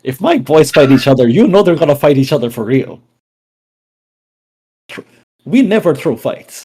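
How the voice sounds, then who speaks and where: A man's voice: on the high side, very animated, moderate pace, dark tone, pitched above the performer's own voice. A man playing a hot-tempered, proud raider gang leader, indignant and defiant, in a tabletop game.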